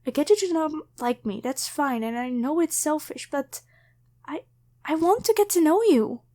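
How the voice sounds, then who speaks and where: A woman's voice: high voice, lively intonation, normal speed, dark tone. A woman voicing a grateful, flustered young woman who rambles and stammers apologetically in an ASMR roleplay spoken to the listener. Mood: shy pleading.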